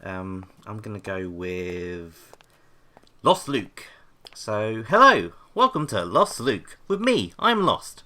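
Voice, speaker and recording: Young male intern, played by an actor: lost, flustered, sarcastic, bright voice with a very lively pitch range. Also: takes it slowly, exaggerated voice. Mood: awkward, hesitant. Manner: nervous, hesitant, self-talking. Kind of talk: scripted audio comedy drama.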